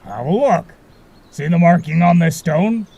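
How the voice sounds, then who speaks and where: A man's voice: on the high side, expressive, unhurried, vocal fry, noisy background. A man voicing a carefree, cagey marsh fairy guide in an audio drama, talking in a breezy, casual way. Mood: explaining, assured. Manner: breezy, casual, a bit evasive.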